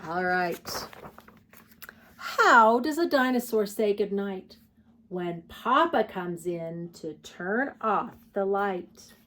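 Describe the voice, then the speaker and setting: A woman hosting a children's storytime, reading picture books aloud in an expressive, sing-song way and talking directly to young listeners. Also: mid-range voice, slow, slight background noise.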